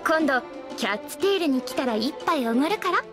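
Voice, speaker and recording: A genteel young townswoman from an anime game. Her very high, animated voice is polite and breezy in a feminine way. Background music is clearly audible. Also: brisk. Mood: blithe, breezy. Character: young townswoman cat owner, genteel and blithe.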